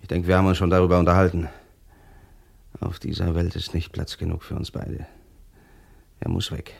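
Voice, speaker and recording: An actor playing a cold, cocky, domineering killer in a crime radio play, curt and boastful. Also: male voice, low voice, flat delivery, normal speed, gravelly, breathy edge, exaggerated voice. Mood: menacing, boastful. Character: cold, cocky hired-gun killer, brusque and domineering man.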